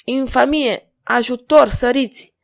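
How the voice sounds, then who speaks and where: A woman's voice: medium pitch, animated, a little mellow, close to an everyday voice. A woman voicing a frightened, indignant young maiden in an audiobook, crying out in short pleas. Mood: indignant, alarmed.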